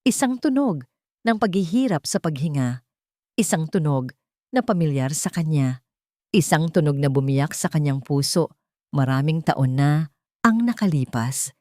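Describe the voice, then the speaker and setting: A woman narrating a scripted audio drama in a solemn, deliberate, dramatic storytelling style. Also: low-pitched, lively intonation, darkish tone, dry recording, studio quality.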